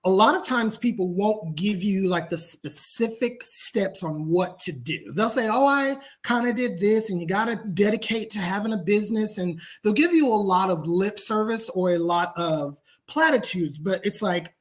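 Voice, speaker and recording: A man's voice: high-pitched, animated, normal speed, hardly any pauses. Friendly American man presenting with many ums. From United States. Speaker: guest business founder. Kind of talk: webinar presentation.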